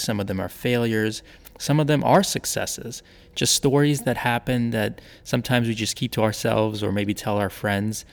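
Gravelly upbeat male podcast host. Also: on the low side, long reverb tail. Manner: upbeat, chatty promo delivery. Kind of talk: casual podcast ad reads and announcements.

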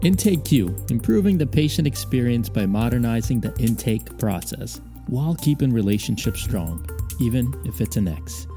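A man asks questions and reads an ad in a playful, curious manner. His voice is mellow and dark, with faint music under it. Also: medium pitch, expressive, moderate pace. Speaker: interviewer and ad reader. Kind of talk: casual interview and ad read.

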